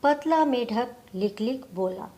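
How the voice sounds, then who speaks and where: A woman's voice: on the high side, animated, slow, warm tone. A woman narrating a scripted moral story for children.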